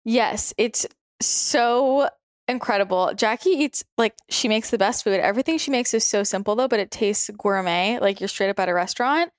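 A bubbly woman hosts a podcast, chatting casually with a friend and laughing often. Her voice sits at a middle pitch. The sound is dry and close, with no room echo. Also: moderate pace. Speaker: podcast host. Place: indoors at a friend's home.

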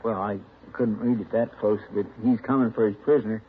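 An actor playing a folksy, rustic frontier deputy in a 1950s radio drama. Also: male voice, lowish voice, fairly even intonation, a little fast, lightly acted. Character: folksy drawling deputy, a plain frontier man. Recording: tinny old radio broadcast with hiss.

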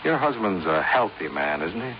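Through the hiss of a muffled 1950s radio broadcast comes a hard-boiled American male investigator. His voice sits fairly low, and he speaks in terse, matter-of-fact lines with an inquisitive edge. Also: sing-song, normal speed. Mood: probing. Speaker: lead actor and narrator of a detective series. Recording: noisy, narrow-band 1950s radio recording.

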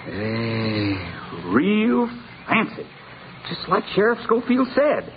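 A chatty, boastful blacksmith of the Old West, with a high American voice that bounces up and down. He talks in a folksy, rustic way, friendly and full of himself, on a tinny 1950s radio western recording. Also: wildly swooping pitch, takes it slowly, slight background noise, heavily acted. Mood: impressed, eager. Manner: folksy, garrulous, rustic Western drawl and grammar.

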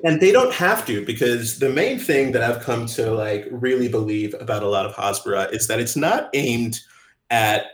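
A man hosting a casual podcast chat, wry and quick to laugh, talking in bursts. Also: mid-range voice, expressive, echoey room. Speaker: podcast host.

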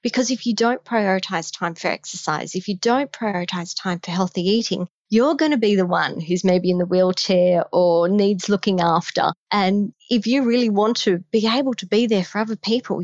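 A woman's voice: medium pitch, moderate pace, hardly any pauses, darkish tone, no echo, muffled recording. A woman with an Australian accent, a guest on a podcast, giving emphatic, direct advice on health in midlife. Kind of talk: podcast conversation.

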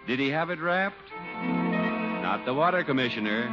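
A man's voice: mid-range voice, lively intonation, measured pace, over loud music. A man announcing in a polished, emphatic 1950s radio sales style, reading from a script. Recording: dull, husky-sounding old radio recording.

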